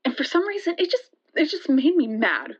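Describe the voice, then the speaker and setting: Audio drama: rattled young female storyteller, higher-pitched, conversational and hesitant, slightly muffled lo-fi sound. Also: big pitch swings. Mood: tense, hesitant. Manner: halting, conversational, with hesitations and restarts. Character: shaken young woman recounting a terrifying night. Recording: dull, lo-fi sound.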